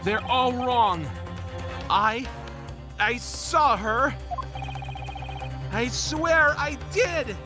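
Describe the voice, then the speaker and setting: A man's voice: very high-pitched, very slow, pauses often, music in the background, pitched above the performer's own voice, exaggerated voice. A man voicing an indignant, pleading young male defendant in an amateur game dub, exclamatory and disbelieving. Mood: desperate. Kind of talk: amateur video game voice-over.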